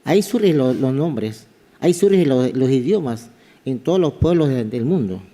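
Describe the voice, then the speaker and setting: Halting Kuna-accented man in a hall. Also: medium pitch, lively intonation, dark tone. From Panama. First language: Kuna (Guna). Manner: halting, repeats words while speaking. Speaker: anthropology professor presenting. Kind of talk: conference presentation. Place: large conference hall. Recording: reverberant conference hall.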